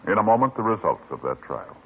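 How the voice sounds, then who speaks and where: A man announcing on an old radio show in a measured, formal, solemn way. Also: lowish voice, very animated, husky. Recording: tinny old radio broadcast with music.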